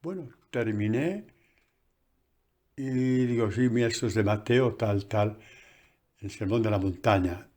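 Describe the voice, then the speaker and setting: A man, a priest, giving a conversational catechesis sermon recorded on a phone, animated and full of asides. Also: fairly low pitch, a little slow, long pauses.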